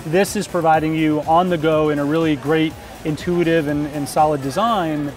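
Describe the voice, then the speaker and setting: Higher-voiced man explaining, music behind. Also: steady tone, normal speed. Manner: explanatory, agreeable. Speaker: company product representative. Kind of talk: informal product explanation in an interview. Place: trade show booth. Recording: music playing in the background.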